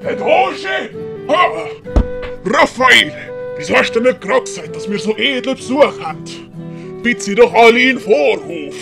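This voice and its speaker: Creaky, high-voiced villainous old great-uncle. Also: male voice, moderate pace, full character voice. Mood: fawning. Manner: theatrical, switching between threats and oily flattery. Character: menacing, fawning old villain great-uncle, a master of the house. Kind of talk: audiobook character acting. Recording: prominent music behind the voice.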